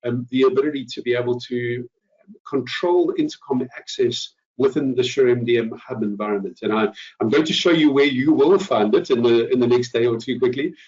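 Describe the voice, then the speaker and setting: A man hosting an informal product update webinar, speaking haltingly with repeated words and rephrasing, over an online call. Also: mid-range voice, a little mellow. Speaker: host presenter. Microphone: online meeting call. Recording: muffled lo-fi online call, distant mic.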